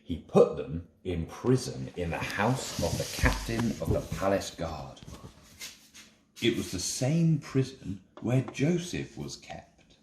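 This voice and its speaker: Male narrator, dramatic scripture storytelling, unhurried, very expressive pitch, echoey room, faint noise. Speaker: narrator, Bible reader.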